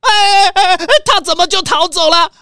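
A woman's voice: very high voice, fairly even intonation, normal speed, pushed and bright, no echo, clean studio sound, heavily acted. A woman with a Taiwanese Mandarin accent playing a spoiled, pompous rich man in a children's radio drama, whiny, dramatic and pleading. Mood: panicked.

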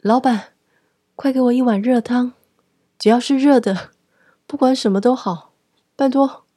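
A woman voicing an excitable, hungry, dramatic woman customer in a radio drama, exclaiming loudly and fussily. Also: fairly high pitch, measured pace, no echo, clean studio sound, pitched above the performer's own voice. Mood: pleading. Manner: loud, fussy exclaiming.

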